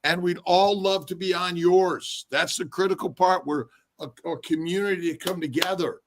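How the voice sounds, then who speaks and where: A man who is a doctor, co-hosting a casual online talk, upbeat and halting, with many fillers. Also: high-pitched, steady tone, slight background noise. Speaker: doctor and co-host. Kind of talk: casual livestream chat.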